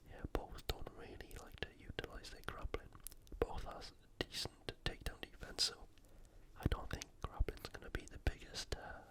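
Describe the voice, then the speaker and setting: An ASMR host, speaking entirely in a breathy whisper in a casual, conversational commentary style. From United Kingdom. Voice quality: whispered, unvoiced. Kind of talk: ASMR fight preview commentary.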